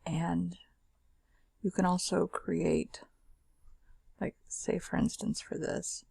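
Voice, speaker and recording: A woman talks through a screen demo in a loose, chatty way, with fillers and restarts. Her voice is scratchy and heavily gravelly, with a husky rasp. The sound is close and dry, with no room in it. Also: fairly low pitch, steady tone, takes it slowly, warm tone, no room sound. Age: adult. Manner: chatty and informal, with fillers and self-corrections. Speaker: screen-share software demonstrator. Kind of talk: casual screen recording walkthrough.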